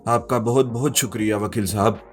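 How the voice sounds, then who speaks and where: A man's voice: fairly low pitch, steady tone, brisk, close to an everyday voice. A man playing an earnest, polite young man in a narrated story. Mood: grateful. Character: earnest young man in trouble, wealthy heir. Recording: music behind the voice.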